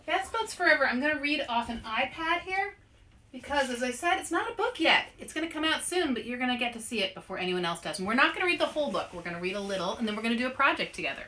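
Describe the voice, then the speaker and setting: A woman presenting a children's read-aloud in an animated way, speaking directly to young listeners as an author and host. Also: high voice, quick pace, slight background noise.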